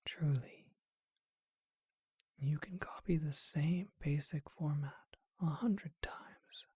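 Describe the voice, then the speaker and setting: A soft, very breathy vampire acted out by a performer for an ASMR roleplay, courteous and bookish. The words come slowly in a dark, intimate whisper, close and dry. The manner is refined and musing, as befits someone ancient and curious. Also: medium pitch, dry recording. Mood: intimate, reflective. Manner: refined, formal wording, unhurried and musing.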